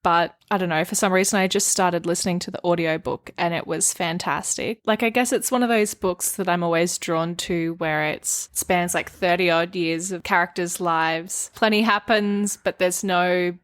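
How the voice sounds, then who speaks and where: A woman's voice: lowish voice, moderate pace, few pauses. A woman co-hosting a book podcast, chatting casually in a giggly, talkative way.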